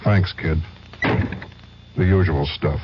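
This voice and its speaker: An actor playing a hard-boiled private detective, terse and wry, with clipped deadpan narration in a radio spot. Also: male voice, extremely low pitch, drawn-out words, heavy background noise, full character voice. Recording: dull old radio recording, music behind.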